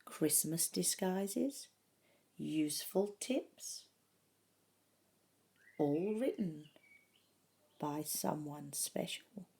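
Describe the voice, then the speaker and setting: A woman reading a children's picture book aloud in an expressive way, with dramatic pauses and emphasis. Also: low voice. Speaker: storybook reader.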